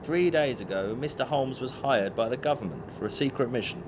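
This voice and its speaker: An actor playing a discreet, courteous Scotland Yard detective and bodyguard in an audio drama, polite and official. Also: male voice, mid-range voice, brisk, close to an everyday voice. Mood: guarded, courteous. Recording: clear background vehicle noise.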